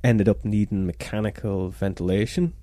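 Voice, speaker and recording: A man's voice: fairly low pitch, steady tone, moderate pace, mellow, no room sound, clean studio sound. A man in casual podcast chat, explaining things hesitantly with lots of fillers and restarts.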